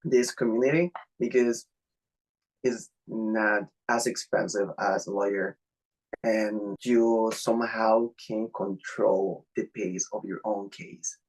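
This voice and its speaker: A man from Mexico whose first language is Spanish, speaking English with a Mexican accent as an interview guest, earnest and a little halting, over a video call. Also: fairly low pitch, steady tone, darkish tone, low-quality recording. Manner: earnest, halting non-native phrasing.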